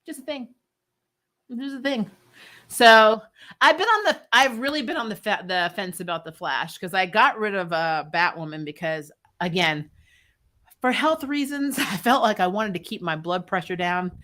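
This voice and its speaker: A woman's voice: mid-range voice, lively intonation, normal speed, a bit bright. A woman hosting a casual recap livestream, talking informally and chattily about shows and viewer comments. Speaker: host of a TV recap show.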